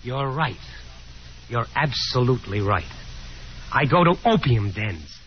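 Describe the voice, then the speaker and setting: A man's voice: mid-range voice, very animated, a little slow, a little hiss or hum. Sarcastic restless young man, dramatic old radio acting, muffled vintage recording. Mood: bitter mockery. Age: young adult. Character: restless, sardonic grown son, frustrated poet. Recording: dull 1950s radio recording.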